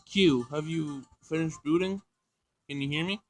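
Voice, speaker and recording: A man's voice: on the high side, moderate pace, close to an everyday voice. A man voicing a proud, fussy robotics professor from a visual novel, chatty and opinionated. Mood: attentive. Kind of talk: visual novel character reading.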